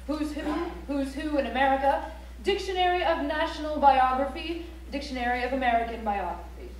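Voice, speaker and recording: A woman's voice: high voice, brisk, lightly acted. A woman stage actor reciting rules in a precise, instructional manner, like a declarative rulebook being read out. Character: prescriptive female voice reciting capitalization rules. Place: library auditorium stage, recorded from the audience. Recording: echoey auditorium, distant mic, faint noise.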